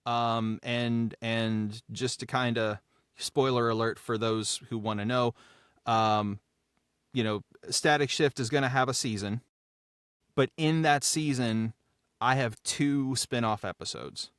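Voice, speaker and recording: A man's voice: mid-range voice, slow pace, fairly bright tone, smooth, dry recording, clean studio sound. A man chatting casually and enthusiastically as a podcast creator, effusive and repeating phrases for emphasis. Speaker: show creator and writer. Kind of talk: casual behind-the-scenes podcast talk.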